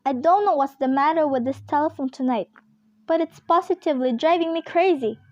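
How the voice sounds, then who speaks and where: A woman's voice: high voice, fairly quick, no echo, highs cut off, natural voice. A woman playing a nervous, demanding, self-pitying invalid wife in an amateur radio play, fretful and complaining. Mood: exasperated.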